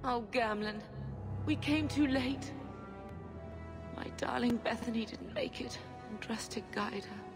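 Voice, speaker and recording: A woman's voice: on the high side, a little slow, pauses often. An actress playing an anxious, grieving middle-aged noblewoman and mother in a video game, earnest and plaintive. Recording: long reverb with faint music.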